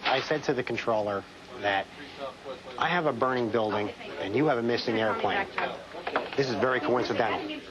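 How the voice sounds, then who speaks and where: An American air traffic controller recalls events in an interview. He has a mid-range male voice, recorded a little dully with people clearly audible behind him. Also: medium pitch, normal speed, long pauses, muffled recording, a loud crowd. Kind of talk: first-hand recollection in interview.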